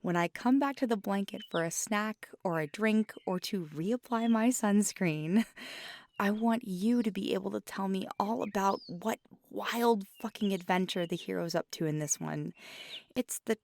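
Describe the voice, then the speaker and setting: A woman playing a feisty, affectionate magical girlfriend in an ASMR roleplay, talking casually and often dropping to a breathy murmur. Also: medium pitch, unhurried, darkish tone. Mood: playful, animated. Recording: clear background noise with birdsong. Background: birdsong and outdoor ambience.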